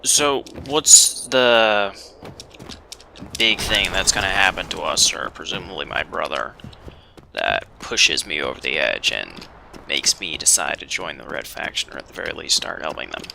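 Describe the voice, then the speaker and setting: A man's voice: sits low, lively intonation, unhurried, creaky, background noise. A man casually commentating a video game as he plays, thinking aloud and swearing mildly. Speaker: let's player. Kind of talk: casual blind let's play commentary.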